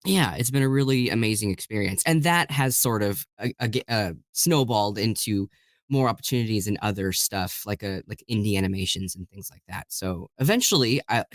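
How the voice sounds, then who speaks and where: A man's voice: on the low side, fairly quick, no echo. Enthusiastic man chatting casually, dry close sound. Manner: enthusiastic, chuckles while talking. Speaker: guest voice actor and audiobook narrator. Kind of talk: casual interview chat.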